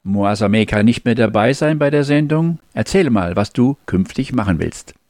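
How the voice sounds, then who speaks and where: A man's voice: fairly low pitch, lively intonation, moderate pace, darkish tone, no echo, clean studio sound. Man hosting community radio show. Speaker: radio host.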